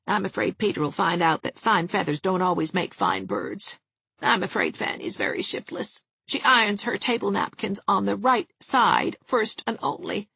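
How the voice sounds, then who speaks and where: A woman's voice: medium pitch, gravelly, breathy edge, no room sound, pitched above the performer's own voice. A woman voicing a gloomy, fretful elderly spinster who frets and forever fears the worst. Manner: mournful, fussy, forever fearing the worst. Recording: tinny phone-like sound.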